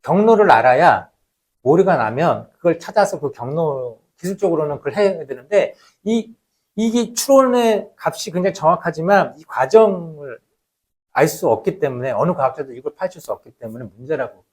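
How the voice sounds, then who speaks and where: Male lecturer, researcher type, voice a little high, explanatory and emphatic delivery. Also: animated, normal speed, darkish tone. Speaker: labour researcher giving a lecture.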